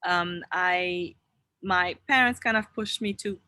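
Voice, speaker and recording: A young adult woman from Morocco speaks as an interview guest, with a bright, clear tone. She talks in an earnest, rambling way, restarting her sentences and filling the gaps as she goes. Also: lowish voice, slow, dry recording. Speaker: interview guest, wellness founder. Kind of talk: casual interview talk. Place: online video interview.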